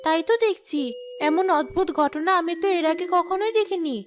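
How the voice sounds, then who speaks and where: A woman acts as an obedient young girl in a village cartoon. Her voice is extremely high and her manner is eager and dutiful. Music is clearly heard behind her. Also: fairly quick. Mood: eager, amazed. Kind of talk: cartoon story acting.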